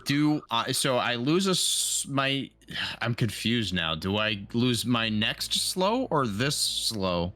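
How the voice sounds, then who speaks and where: A man's voice: medium pitch, big pitch swings, takes it slowly, pushed and bright. A man chatting casually as a player in a tabletop roleplaying game, hesitant and often restarting his sentences.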